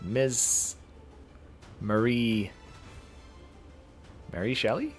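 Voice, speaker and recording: A man streaming a visual novel playthrough, reading it aloud in a halting, dramatic way and correcting himself. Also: medium pitch, expressive, soft background music.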